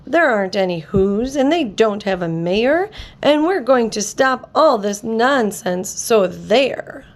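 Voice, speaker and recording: Rowdy, mocking jungle monkey brothers, scoffing at nonsense they won't believe, as a woman reads them aloud. They bark out their words in a slightly higher voice. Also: lively intonation, takes it slowly. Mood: scornful. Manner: shouting, scoffing.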